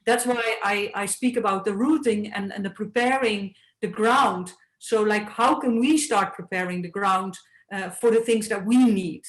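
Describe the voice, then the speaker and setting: A woman speaks in a mid-pitched, thoughtful voice, circling her ideas with frequent fillers. The sound carries a noticeable room echo, as at a panel talk. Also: fairly even intonation, moderate pace. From Netherlands. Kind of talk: panel discussion.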